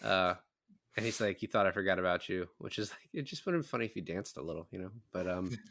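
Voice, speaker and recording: A man's voice: deep voice, fast talker, fairly bright tone, no echo. A man co-hosting a casual film chat, blunt and joking, with some swearing. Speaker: podcast co-host.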